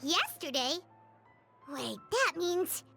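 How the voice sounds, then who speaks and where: A woman voicing a tiny, loyal, excitable female fairy companion with a squeaky childlike voice in an animated game. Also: slow, gravelly, soft background music, exaggerated voice. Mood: surprised.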